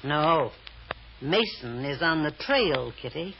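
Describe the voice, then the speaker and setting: A woman's voice: sits low, lively intonation, slow, a crowd in the background, exaggerated voice. An actress playing a shrewd, bossy, scheming woman in an old radio drama, clipped and sardonic. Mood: calculating. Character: shrewd, bossy woman scheming and controlling her tippling companion. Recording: dull, faintly noisy old radio recording.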